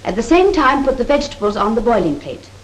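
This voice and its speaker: A woman's voice: a little high, sing-song, fast talker. A woman playing an assured cookery demonstrator in a 1930s British film, speaking precise Received Pronunciation with a proper, instructive manner. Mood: instructive, encouraging. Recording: worn, noisy 1930s film soundtrack.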